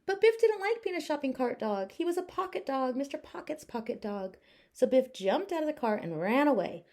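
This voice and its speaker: A woman teacher narrating a children's storybook aloud with expressive, deliberate phrasing. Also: high-pitched.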